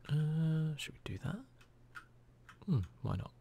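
A man doing hushed, murmured ASMR commentary over a video game, talking to himself close to the microphone. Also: fairly high pitch, lively intonation, slow pace, vocal fry, a little hiss or hum. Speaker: gaming video host.

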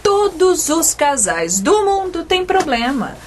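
Animated, insistent scolding wife. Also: female voice, very high voice, slow pace, a little hiss or hum, full character voice. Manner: insistent, expressive. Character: worried, bossy, scolding wife. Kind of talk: comic radio soap opera acting.

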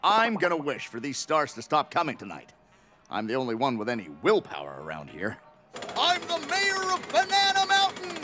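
Pompous, wildly expressive ape. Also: male voice, fairly high pitch, moderate pace, music in the background. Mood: boastful. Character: haughty talking ape, self-important and exasperated. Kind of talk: animated cartoon acting.

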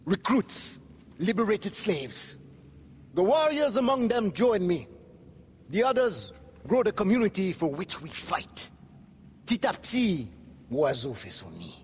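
A performer playing a resolute maroon rebel leader, earnest and given to proverbs in a game cutscene. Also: female voice, medium pitch, very animated, a little slow, long pauses, in a large hall, slight background noise. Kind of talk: video game cutscene acting.

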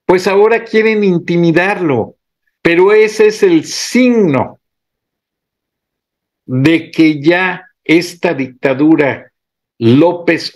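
A middle-aged man giving indignant political commentary on camera, pausing to read legal text aloud in a slow, deliberate way. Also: mid-range voice.

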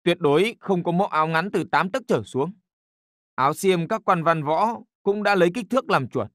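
Higher-voiced, deferential court official. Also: male voice, animated, normal speed, fairly bright tone, no echo, studio quality. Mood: respectful. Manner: formal, respectful, precise. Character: diligent, deferential court official reporting to his emperor. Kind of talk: historical cartoon voice acting.

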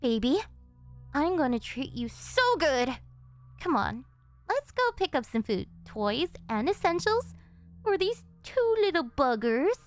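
A high-pitched yandere delinquent girlfriend, acted by a woman for an ASMR audio roleplay. She is clingy and doting, pleading sweetly with a possessive, threatening edge. Music plays behind her voice. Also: very animated, a little slow. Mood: delighted. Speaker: voice actress.

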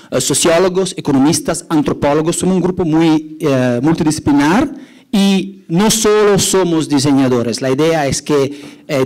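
A man's voice: on the high side, a little mellow, poor audio. A middle-aged Italian man with an Italian accent, giving a hesitant, explanatory conference talk from an auditorium stage. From Italy. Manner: many fillers and restarts, explanatory. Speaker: conference speaker, urban designer.

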